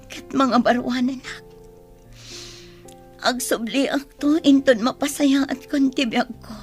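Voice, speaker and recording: A woman with an Ilocano Filipino accent playing a wronged, sorrowful mother in an emotional radio drama. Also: high-pitched. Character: wronged, sorrowful mother newly freed from prison.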